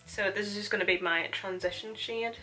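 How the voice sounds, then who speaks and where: A woman's voice: mid-range voice, steady tone, a little fast, sharp tone, faint music behind, poor audio. A young woman with a Northern Irish accent, chatting casually through a makeup tutorial and laughing often. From Northern Ireland. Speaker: beauty vlogger.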